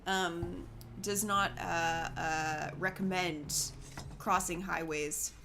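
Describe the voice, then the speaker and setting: A Canadian woman hosting a casual gaming video, joking and reacting quickly, her voice gravelly today. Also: on the low side, steady tone, background noise. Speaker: let's play host.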